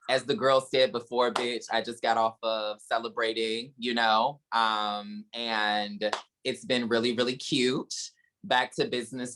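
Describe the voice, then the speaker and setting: A young man hosting a casual podcast chat, animated, laughing often and swearing playfully. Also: on the low side, a little hiss or hum, poor audio. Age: young adult. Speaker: podcast host.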